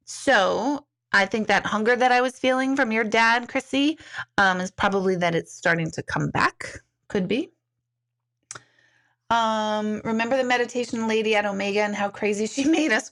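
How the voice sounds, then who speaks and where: A middle-aged woman talks casually to her viewers as an intuitive medium. She rambles from one comment to the next and laughs easily. Her voice sits at an ordinary middle pitch. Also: vocal fry, a bit bright. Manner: chatty, rambling, reads comments aloud, laughs often. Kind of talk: casual live-stream chat.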